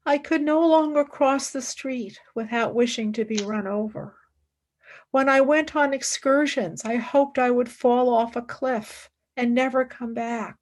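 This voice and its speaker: A woman playing an earnest, principled young philosopher and wartime nurse in a radio play, with measured, emphatic delivery. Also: on the high side, a little mellow. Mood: anguished, reflective. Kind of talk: dramatic radio play acting.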